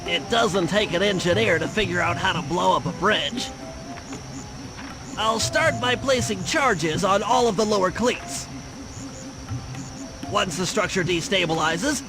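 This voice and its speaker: Very high-pitched nerdy turtle mastermind. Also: male voice, expressive, measured pace, low-quality recording. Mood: focused planning. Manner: precise, technical, verbose briefing. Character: nerdy brainy turtle tactician, fussy, confident gadget planner. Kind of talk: cartoon video game mission briefing. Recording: game music behind voice. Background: game music.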